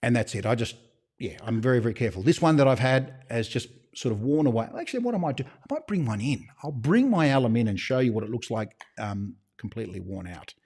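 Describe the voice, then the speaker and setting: Aussie guy rambling through a live q&a for his shop, mid-pitched, throws in jokey hush-hush asides. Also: animated, quick pace, husky. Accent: Australian. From Australia. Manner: chatty, rambling, with conspiratorial joking asides. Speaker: shop owner hosting a live Q&A. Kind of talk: casual livestream Q&A.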